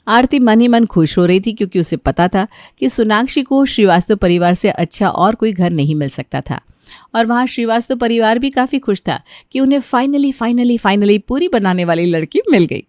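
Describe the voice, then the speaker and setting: A woman's voice: on the high side, animated, moderate pace, a little mellow, no room sound. Woman narrating a story, dry studio sound. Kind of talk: scripted cartoon story narration.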